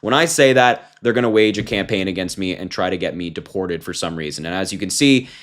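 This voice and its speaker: A man's voice: low-pitched, animated, brisk, fairly bright tone. Mocking young man talking to camera. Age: 20s. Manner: mocking, combative; informal, with fillers and restarts. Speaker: political commentator. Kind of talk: casual commentary to camera.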